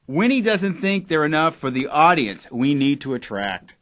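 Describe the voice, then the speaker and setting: An eager, defensive station owner played by a man in a satirical audio drama. His voice is on the high side for a man and swings widely in pitch. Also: very animated, normal speed, a bit bright.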